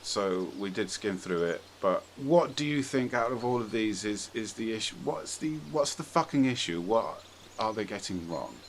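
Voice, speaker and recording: Very deep-voiced man, casual podcast host. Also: expressive, normal speed, slight background noise. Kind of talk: casual opinionated discussion.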